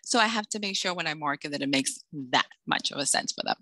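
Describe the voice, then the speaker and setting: Middle-aged woman guest, lively storyteller, bright tone, dry close sound. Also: low-pitched, fast, no echo. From Dominican Republic, raised in Massachusetts. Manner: animated storyteller, acts out quoted voices. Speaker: author guest. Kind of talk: casual interview chat.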